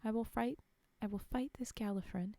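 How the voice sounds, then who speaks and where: A woman's voice: fairly high pitch, normal speed, warm tone, no room sound, natural voice. A woman reading aloud the part of a gallant, earnest young hero in a fairy tale. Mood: resolute, gallant. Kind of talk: storybook read-aloud.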